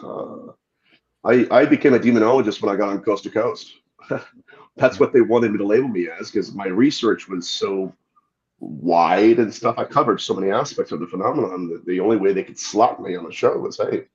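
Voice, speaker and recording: A man's voice: lowish voice, a little fast. A man who is a demonologist guest, talking casually in a podcast interview with a lot of fillers. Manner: relaxed, conversational, lots of fillers. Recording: dull lo-fi remote audio.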